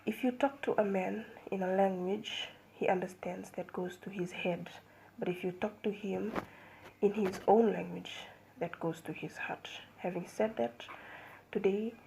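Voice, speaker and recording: A young woman giving a seminar presentation as a student, measured and hesitant, with fillers and restarts. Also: mid-range voice, vocal fry, dark tone, a little hiss or hum, low-quality recording. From Fiji.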